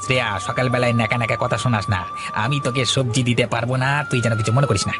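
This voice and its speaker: Very fast-talking stingy vegetable seller man acting. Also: medium pitch, steady tone, lightly acted. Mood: dismissive. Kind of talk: Bengali cartoon story voice acting. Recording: music behind the voice.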